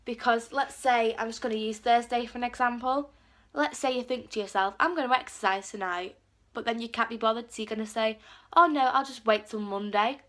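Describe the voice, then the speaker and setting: A teenage girl chatters to her viewers, her voice bright and a bit high. She has a cold, and her talk is loose and full of "like" as she acts out little quotes. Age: teens. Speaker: vlogger. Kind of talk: casual talk to camera.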